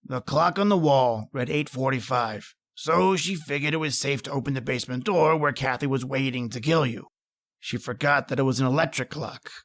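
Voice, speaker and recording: A man's voice: medium pitch, moderate pace, fairly bright tone, close to an everyday voice. An actor playing a matter-of-fact male investigator in an old-time radio thriller, knowingly explaining a crime.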